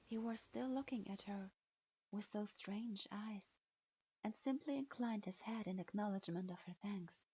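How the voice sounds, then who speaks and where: A woman narrating fan fiction in a slow, measured reading. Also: mid-range voice, warm tone, slightly rough. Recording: dull lo-fi recording.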